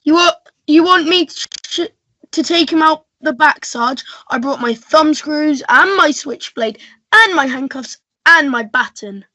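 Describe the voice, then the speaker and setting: Woman as a high, bright, over-eager constable. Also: expressive, a little slow, dry recording, full character voice, rough recording. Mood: eager, pleading. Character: eager, thuggish, childlike young male police constable. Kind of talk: melodramatic radio play acting.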